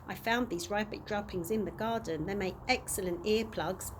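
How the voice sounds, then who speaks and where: A woman's voice: mid-range voice, normal speed, close to an everyday voice. A woman reading the part of a cheerful, vain male tramp in a storybook, with polite, old-fashioned manners. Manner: polite, old-fashioned, grandly self-pleased. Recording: audible background noise.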